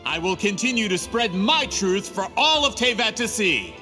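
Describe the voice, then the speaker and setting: A man's voice: high voice, big pitch swings, moderate pace, sharp tone, heavily acted. A man voicing a greedy, scheming male printing merchant in a game cutscene, smug and scornful. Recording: game audio with reverb and music.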